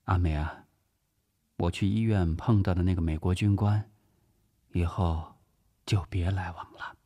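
A man voicing a gentle but firm older woman in an audiobook, soft, breathy and coaxing. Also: low voice, steady tone, unhurried. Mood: quiet, firm.

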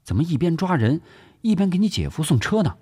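An officer's anxious wife, put on by a male narrator frets and exclaims in an audiobook drama. The voice is lifted high and husky, with quick, swooping questions. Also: sing-song, darkish tone. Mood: shaken, agitated.

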